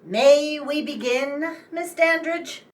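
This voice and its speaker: Commanding, fed-up female producer, acted by a woman, slow and curt, distant mic, radio play. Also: high-pitched, slight background noise. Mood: exasperated. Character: exasperated, bossy female radio show producer. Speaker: radio show director. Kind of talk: radio play comedy acting.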